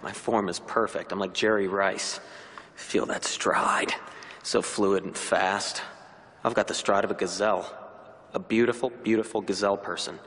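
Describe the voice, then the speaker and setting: A man playing a vain, menacing narcissist in a sitcom, with a creepy voice, grandiose and deliberately dramatic in delivery. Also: sits low, a bit bright, full character voice. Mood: self-admiring. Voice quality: creepy voice, slipping into breathy whispers. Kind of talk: sitcom monologue acting.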